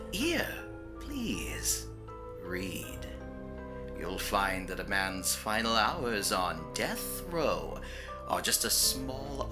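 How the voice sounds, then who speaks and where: A man's voice: sits low, exaggerated voice. A man playing a creepy, courteous shopkeeper who hosts a horror audio drama with theatrical, sly hesitation. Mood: ominous. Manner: theatrical, hesitant, slyly welcoming. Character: creepy, courteous old comic-book shopkeeper, horror storyteller host. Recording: music playing behind the voice.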